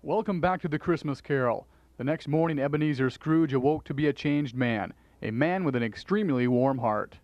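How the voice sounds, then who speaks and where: A man's voice: on the high side, brisk, darkish tone, no echo, lo-fi sound. A man giving scripted narration for a radio drama.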